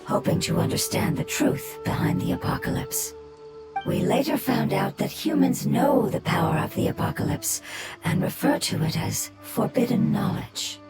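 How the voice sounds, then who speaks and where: Gentle ancient game creature: breathy, formal, sorrowful, with wide, expressive pitch swings. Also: female voice. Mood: solemn, sorrowful. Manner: solemn, measured, formal storytelling. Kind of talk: scripted video game dialogue. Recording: game audio under loud music.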